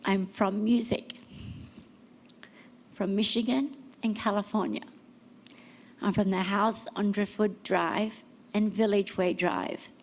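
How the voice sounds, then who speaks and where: A middle-aged woman reading family tributes aloud at a memorial service, with a gentle, measured delivery and pauses between phrases. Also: medium pitch, fairly even intonation, slight background noise. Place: memorial service venue, large room. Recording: hall reverb.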